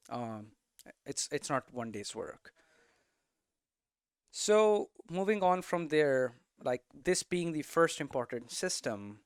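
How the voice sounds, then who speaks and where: A man's voice: medium pitch, dry recording, studio quality. A man giving a casual, unscripted tutorial as a game developer, thinking aloud and often checking in with rhetorical questions. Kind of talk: casual, unscripted explanatory talk.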